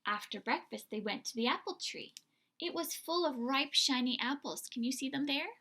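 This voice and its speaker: A woman hosting a read-aloud for young children, telling the story in an expressive, deliberate way. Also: sits high, a little hiss or hum. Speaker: children's story reader and host.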